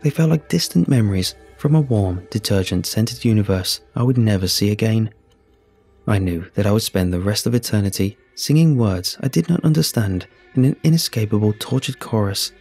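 A man narrating a scripted first-person horror story in a measured, solemn, husky voice. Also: lowish voice, darkish tone. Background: music.

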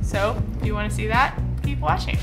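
Woman vlogger with loud music behind. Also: medium pitch, brisk, gravelly. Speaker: travel vlogger. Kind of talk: casual travel vlog narration.

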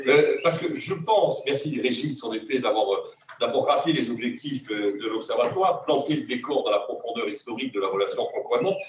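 A man's voice: mid-range voice, lively intonation, a little fast. A male moderator hands over the floor politely, dotting his talk with fillers. His voice comes from far off in an echoey meeting room with some background hiss. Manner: polite, courteous handover with fillers. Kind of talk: introducing speakers at a panel. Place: meeting room with tables in a U shape. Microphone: far room camera. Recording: echoey room, distant mic.

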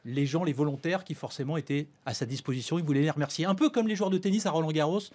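High-voiced man telling an anecdote. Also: fast, a little mellow. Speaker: sports commentator on a panel. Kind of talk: anecdotal storytelling. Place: radio studio. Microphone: studio desk microphone.

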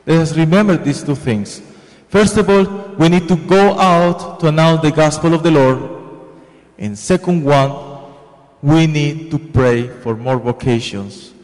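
A man's voice: on the high side, measured pace, a little mellow. A young man from Colombia with a Spanish accent, giving an earnest, repetitive homily into a handheld microphone in a church. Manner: earnest, repetitive, preaching with hand gestures. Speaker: priest. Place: large church sanctuary. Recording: long church reverb.

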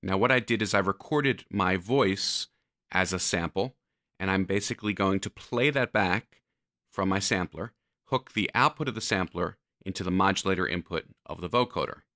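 A man narrating a software tutorial, explaining step by step like an instructor. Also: deep voice, moderate pace, no echo, clean studio sound.

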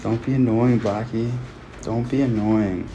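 A man's voice: fairly low pitch, fairly even intonation, a little slow, mellow, dull audio, slight background noise. A young man from Canada hosting a casual livestream, chatting with viewers into a phone held at arm's length in a small room. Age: young adult. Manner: chatty, self-talking, upbeat with viewers. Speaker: livestream host.

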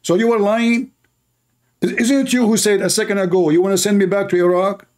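A man's voice: sits high, steady tone, brisk, a little mellow. A man with an Arabic accent, a native Arabic speaker hosting a heated online debate, mocking and combative, repeating himself as he talks. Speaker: debate host.

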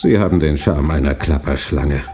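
An actor playing a terminally ill, gruff and imperious old millionaire in a German radio play, speaking in a curt, commanding way. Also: male voice, extremely low pitch, moderate pace. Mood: gruff concern. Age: older.

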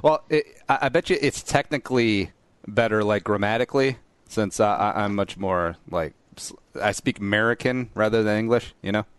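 Low-voiced, joking podcast host man. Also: normal speed, smooth, no room sound. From Korea, raised in southeast Minnesota. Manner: joking, rambling, self-deprecating. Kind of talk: casual interview chat.

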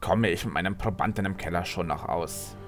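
A man's voice: low-pitched, fairly quick, soft background music, natural voice. A man playing an impatient, scheming court alchemist with a questioning, insistent manner in a fantasy audio drama. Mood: calculating. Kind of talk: fan-made fantasy audio drama acting.

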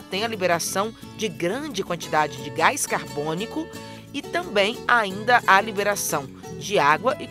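Woman narrator, steady instructional read, music bed clearly audible. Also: mid-range voice. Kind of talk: scripted voice-over narration. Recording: music under the voice.

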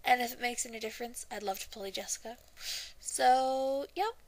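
A woman's voice: on the high side, moderate pace, poor audio. A young woman casually introducing her amateur audition, chatty, hesitant and self-deprecating.

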